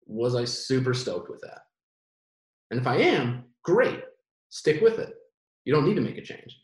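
A man's voice: medium pitch, unhurried, roomy sound. A man in his late twenties, a podcast guest, talking casually and at length with lots of restarts and fillers. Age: 20s. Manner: rambling, animated, many restarts. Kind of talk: casual conversation.

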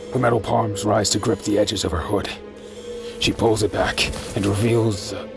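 Man narrating an audio drama, very low voice, gravelly texture, terse and tense, first person, music under it.

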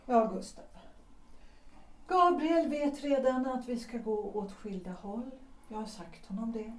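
A woman's voice: a little high, far from the mic, lightly acted. A woman playing a weary, resigned wife. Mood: weary resolve. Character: Gertrud, a tired wife leaving her husband. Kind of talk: audio drama acting. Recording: roomy, off-mic.

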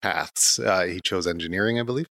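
A man interviewing a guest, asking soft, calm questions. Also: low voice, a little fast, gravelly, no room sound, studio quality.

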